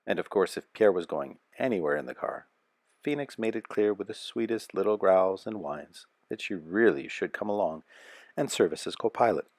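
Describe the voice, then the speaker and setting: A man narrating a children's picture book aloud as a storyteller, with a measured, expressive delivery. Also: sits low, dry recording.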